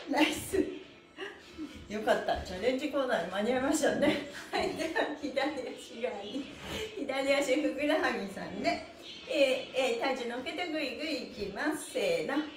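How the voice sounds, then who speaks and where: A woman's voice: a little high, slight background noise. A middle-aged woman leading an exercise class, cheerful and encouraging, alternating instructions with rhythmic chanted counts. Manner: physically active while speaking. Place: small bare indoor room with exercise mat. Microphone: camera a few meters away. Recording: echoey room, distant microphone.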